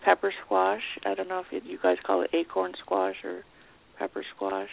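A woman's voice: lowish voice, very animated, normal speed, gravelly, a little hiss or hum. A middle-aged woman chatting casually as a radio show co-host, over a narrow phone-like line. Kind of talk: casual chat.

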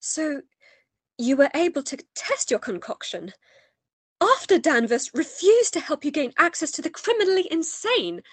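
A woman plays an earnest gentlewoman in a radio drama. Her voice is very high and sweeps up and down with theatrical, distraught feeling, recorded close and dry. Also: sing-song, moderate pace, no room sound. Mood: troubled questioning. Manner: emotive, questioning, theatrical. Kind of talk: radio play acting.

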